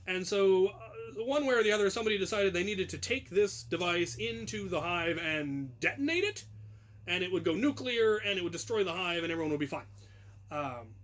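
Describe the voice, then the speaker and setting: A man with a noticeably high voice tells a long story in a loose, conversational way. He wanders with ums, restarts and small chuckles at his own tale. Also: a bit bright. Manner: rambling, self-amused, frequent ums and restarts. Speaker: channel host telling a story. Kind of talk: casual storytelling.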